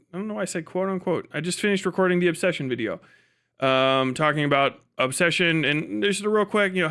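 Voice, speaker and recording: A man with a high, clear voice, streaming casually to his chat. His delivery is bright and forceful, with a mocking, animated manner and plenty of swearing. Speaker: live stream host. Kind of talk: casual livestream chat to viewers.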